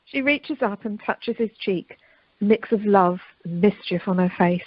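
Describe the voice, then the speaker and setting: A woman's voice: medium pitch, moderate pace, dry recording. Woman narrating, muffled phone sound. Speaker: narrator of an amateur radio play. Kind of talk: scripted narration, reading stage directions. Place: recorded remotely by telephone during lockdown. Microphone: telephone. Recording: muffled telephone conference line.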